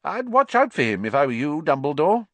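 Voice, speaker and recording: A man's voice: fairly high pitch, very animated, a little fast, dry recording, pitched above the performer's own voice. A man voicing a blustering, flustered older male government official, puffing and indignant. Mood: wary.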